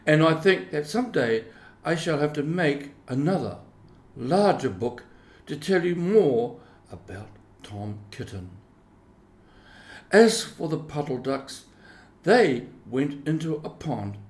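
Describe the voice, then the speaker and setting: A man narrates a children's storybook at a slow, deliberate pace. His pitch swings widely as he dramatises each phrase, and the room rings with echo. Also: big pitch swings. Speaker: storybook reader. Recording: echoey room.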